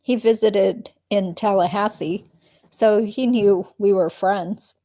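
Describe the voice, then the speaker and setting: A woman's voice: mid-range voice, steady tone, slow pace, mellow. Woman reading as a guarded, terse female witness. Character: guarded female witness giving terse answers under questioning. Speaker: witness in a deposition reenactment. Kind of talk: dramatic reading of a deposition transcript.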